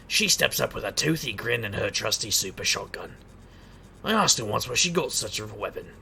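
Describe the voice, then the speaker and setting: An actor playing a wry, hard-drinking private eye and street magician in a noir audio drama, gravelly and wisecracking. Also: male voice, medium pitch, pushed and bright, a little hiss or hum, heavily acted. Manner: wisecracking, sardonic. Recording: crowd murmur behind.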